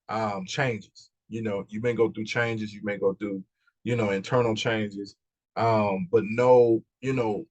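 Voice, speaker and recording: A man's voice: mid-range voice, slightly rough, muffled recording. A man from Mississippi, a business coach and podcast guest, giving earnest motivational advice casually and repeating phrases as he goes. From Mississippi, USA. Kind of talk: casual motivational interview talk.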